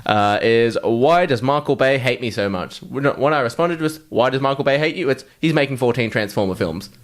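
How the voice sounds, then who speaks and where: A man's voice: medium pitch, brisk. A man co-hosting a podcast in casual, blokey, sweary chat. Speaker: podcast co-host.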